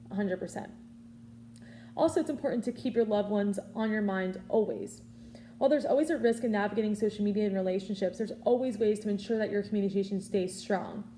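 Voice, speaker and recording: A woman's voice: mid-range voice, dark tone, a little hiss or hum. A woman in her early twenties giving an informal prepared speech, offering earnest advice in a conversational way. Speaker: student public speaker.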